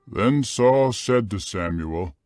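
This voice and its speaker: A man narrating dramatized scripture in a solemn, measured manner. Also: lowish voice, expressive, darkish tone, no room sound, soft background music.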